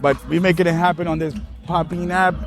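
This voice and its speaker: A man's voice: high-pitched, fairly even intonation, brisk, creaky. A man from Puerto Rico, hosting a podcast in a loose, emphatic, street-casual way at an outdoor street festival. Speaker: podcast host. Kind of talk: casual solo podcast monologue. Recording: faint festival music in the background. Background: faint music.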